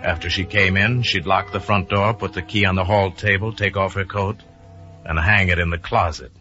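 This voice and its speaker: A man's voice: low-pitched, steady tone, moderate pace, pushed and bright. A man narrating a suspense story in a dramatic, scripted radio style. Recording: muffled vintage radio recording with music. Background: music.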